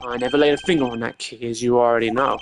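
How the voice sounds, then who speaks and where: A man's voice: mid-range voice, fairly even intonation, quick pace, slight background noise, natural voice. A man voicing a cocky male prosecutor in a video game, a flippant suspect with a casual, taunting manner. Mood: dismissive.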